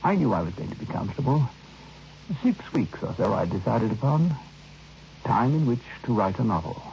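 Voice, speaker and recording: An actor playing a reflective male writer, narrating his memories with measured, dramatic pauses in an old radio drama. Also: medium pitch, big pitch swings, gravelly, a little mellow. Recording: dull old radio recording, faint noise.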